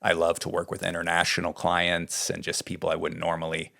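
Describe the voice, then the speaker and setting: Low gravelly middle-aged man. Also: brisk. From California. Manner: relaxed, rambling with fillers. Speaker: guest, script doctor and writing coach. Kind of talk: casual interview talk.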